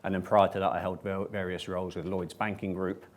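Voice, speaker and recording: A man with a low, level voice answers on a conference panel. He speaks in a measured way, pausing on fillers as he builds his points. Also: level tone. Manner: measured, hesitant with many fillers. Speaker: panelist, management consultant. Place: conference room with audience.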